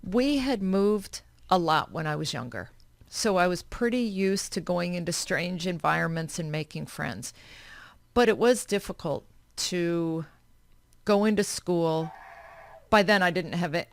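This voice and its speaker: A woman's voice: on the low side, unhurried, no room sound. A woman whose first language is German, telling her family history in a radio interview with short, matter-of-fact answers. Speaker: nonprofit founder, interview guest. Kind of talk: radio interview, personal life story.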